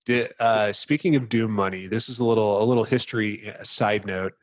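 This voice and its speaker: A man with a fairly low voice hosts a casual gaming discussion. He explains in a loose, halting, rambling manner. The sound is dry, with very little room. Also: no echo. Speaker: podcast host. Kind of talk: casual video game discussion.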